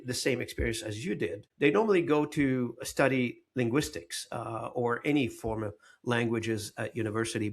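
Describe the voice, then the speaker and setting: A man hosting a podcast interview in a conversational, somewhat halting and rambling manner. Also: mid-range voice. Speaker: podcast host.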